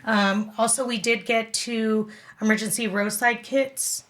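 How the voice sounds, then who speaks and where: A woman's voice: on the high side, fairly even intonation, measured pace, a bit bright. A woman speaking as a club organizer and guest in a casual interview. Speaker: radio club executive director, interview guest.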